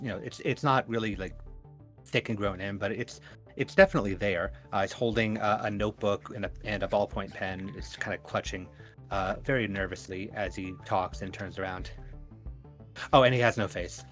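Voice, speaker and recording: Very low-voiced man, halting game player. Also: fairly even intonation, faint music behind. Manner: halting, searching for words. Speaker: tabletop roleplaying player. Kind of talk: casual improvised character description.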